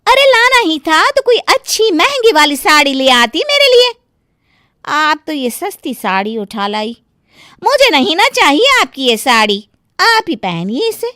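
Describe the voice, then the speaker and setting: Woman doing high swoopy young bahu in a moral story, drawls her words, sugary but spoiled. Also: big pitch swings, sharp tone, no room sound, studio quality, exaggerated voice. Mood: haughty, complaining. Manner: theatrical, drawn-out, wheedling delivery. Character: young village-born daughter-in-law, sugary then haughty and demanding. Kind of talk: dramatised Hindi moral story, cartoon-like acting.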